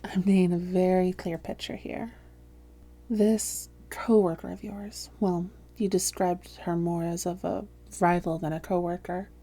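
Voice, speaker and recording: A woman playing a calm female vampire therapist in a roleplay audio, speaking softly, gently and in a measured, reassuring way. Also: fairly low pitch. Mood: gentle, professional. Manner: measured, gentle, reassuring, breathy hushed phrases. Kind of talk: soft-spoken roleplay audio, therapist addressing listener.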